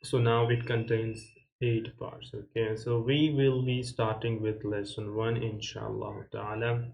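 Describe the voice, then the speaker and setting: A man teaching a beginner Arabic lesson, explaining slowly and reading each word aloud with many fillers and restarts. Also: on the low side, little pitch movement, creaky, a little mellow, highs cut off, slight background noise. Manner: reads Arabic words aloud and repeats them. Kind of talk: casual step-by-step beginner lesson.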